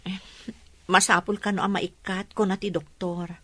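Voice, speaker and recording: A woman with an Ilocano accent playing an ailing but resolute mother in a radio drama, speaking earnestly in a plaintive, measured way. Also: mid-range voice, little pitch movement, sharp tone, no room sound. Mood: worried, hopeful.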